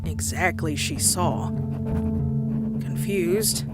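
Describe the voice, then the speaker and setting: A woman's voice: fairly low pitch, extremely slow. A woman voicing a feisty, folksy cartoon farm pony with a Southern US accent, blunt and fretful. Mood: uneasy. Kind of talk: cartoon comic dub with scripted narration. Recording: music behind the voice.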